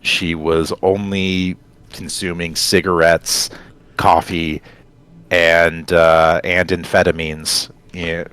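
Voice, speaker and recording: A man with a low voice talks casually as a podcast co-host. He explains at length and wanders off into side stories in a conversational way. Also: little pitch movement, slow pace, fairly bright tone, clear, resonant tone. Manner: rambling, explanatory, digressive storytelling.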